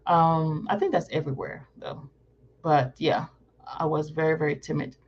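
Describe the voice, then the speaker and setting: A woman's voice: low-pitched, steady tone, measured pace, lo-fi sound, slight background noise. A woman in her thirties, from Morocco, answering viewer questions in a casual live Q&A, thinking aloud, repeating herself and correcting as she goes. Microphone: live-stream setup.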